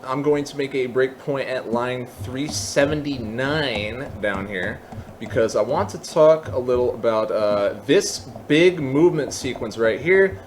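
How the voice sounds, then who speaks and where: A man presenting an informal software tutorial, thinking aloud and openly admitting when he is unsure of details. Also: medium pitch, unhurried, slight background noise.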